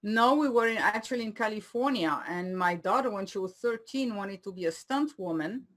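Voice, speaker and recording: A woman's voice: mid-range voice, lively intonation, normal speed, fairly bright tone. Woman with a French-Swiss accent. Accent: French-speaking Swiss with Indian-learned English. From Switzerland. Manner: chatty, laughs easily, playful. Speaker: guest. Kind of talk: casual interview chat.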